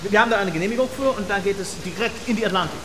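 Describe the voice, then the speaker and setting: A plain-spoken slaughterhouse company man played by an actor gives facts in a slightly halting way. It sounds like an interview in a radio play, with clear ambient noise behind. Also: high-pitched, close to an everyday voice. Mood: matter-of-fact. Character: plain-spoken slaughterhouse company representative, proudly matter-of-fact.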